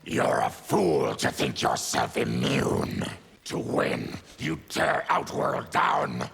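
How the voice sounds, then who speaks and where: A proud, bitter mutant warrior from a fighting game. The voice is a heavily gravelled snarl, sharp and forceful, swinging widely in pitch. Every line is deliberate and threatening, with faint noise behind it. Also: male voice, huge pitch swings, heavily acted. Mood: defiant, bitter. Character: savage diseased mutant warrior, proud bitter former soldier. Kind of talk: video game fighting character dialogue.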